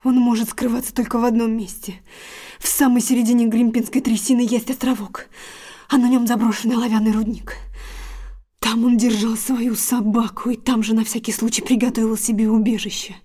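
An actress playing a battered, betrayed wife in an audio drama, husky and breathy, halting and anguished. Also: female voice, on the high side, level tone, dark tone, close to an everyday voice. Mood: resigned. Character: battered, betrayed wife, weak, wounded and bitter. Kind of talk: emotional audio-drama acting. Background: music.

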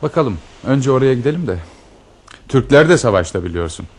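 An actor playing a devoted, protective lover on the run, in a radio drama. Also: male voice, mid-range voice, big pitch swings, brisk, gravelly, breathy edge, exaggerated voice. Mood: earnest. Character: devoted male lover, protective fugitive planning escape.

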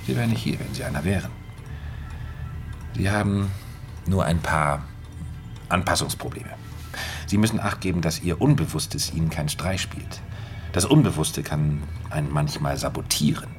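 A very low male voice plays an insinuating mentor and psychologist in a radio drama. He weighs each phrase and pauses deliberately, controlling and smooth. Faint music runs underneath. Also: animated. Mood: quietly menacing. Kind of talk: German radio drama acting.

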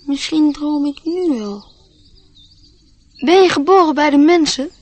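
A wistful little boy in a radio drama, high voice, hesitant and earnest. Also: measured pace. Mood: earnest, dreamy. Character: lonely dreamy young boy, earnest. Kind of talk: radio play acting.

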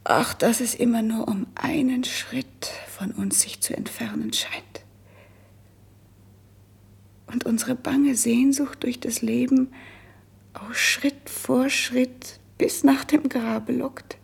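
An actress playing a noble, lovesick young princess in a classical verse radio play, declaiming solemnly and wistfully in a hushed, breathy voice. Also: female voice, a little high, fairly even intonation, in a whisper, exaggerated voice. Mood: resigned longing. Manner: solemn, wistful, drawn-out poetic phrasing.

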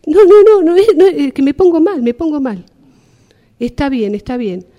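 A woman's voice: high voice, very animated, moderate pace, long reverb tail, highs cut off. A woman with an Argentine accent playing a harried, insistent customer in an improvised radio theatre exercise. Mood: indignant, upset. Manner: insistent, repetitive. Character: harried, pleading, indignant woman queuing over a gas bill.

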